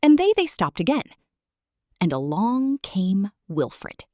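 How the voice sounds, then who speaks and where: A woman reading a children's story aloud, expressive and sing-song. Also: medium pitch, slow pace, no room sound, studio quality.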